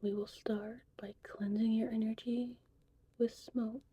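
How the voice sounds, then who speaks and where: A woman speaking mostly in a soothing, slow whisper, as a gentle mystical witch in an ASMR roleplay. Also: fairly high pitch, flat delivery, muffled recording. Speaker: ASMR creator playing a witch who teaches potion making. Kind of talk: whispered ASMR roleplay, guided breathing and narration. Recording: clearly noisy, vintage VHS-tape styled audio.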